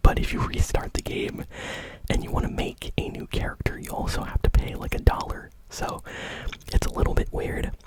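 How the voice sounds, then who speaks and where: A man whispering casual, conversational ASMR gaming news commentary in a breathy, unvoiced voice. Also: normal speed.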